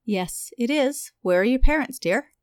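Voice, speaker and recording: A man doing a high, motherly receptionist lady. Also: expressive, measured pace, dry recording, studio quality. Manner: motherly, calls everyone dear. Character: kindly plump older woman receptionist. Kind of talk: audiobook character voice.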